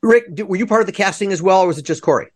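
A middle-aged man hosting a podcast interview, chatting casually in an animated, rambling way. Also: high-pitched, racing. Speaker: podcast host interviewing theater guests. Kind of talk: casual chat.

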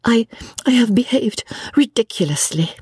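A woman's voice: fairly high pitch, lively intonation, moderate pace, darkish tone, slightly rough, no room sound, studio quality, voice pushed up. A woman voicing a young, proper English woman who stammers with breathless politeness. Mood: flustered.

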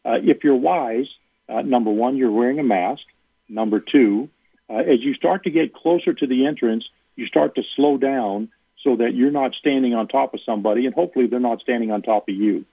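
A man's voice: mid-range voice, normal speed, husky, no echo. A man who is a university athletic director, speaking as a guest in a radio interview, earnest and given to repeating words as he starts a thought. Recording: tinny phone line.

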